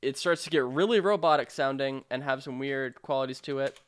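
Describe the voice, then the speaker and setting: A man narrating a software tutorial in an informal, explanatory way with hesitant phrasing. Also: medium pitch, a little fast, clear, resonant tone, no echo. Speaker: sound design tutorial presenter.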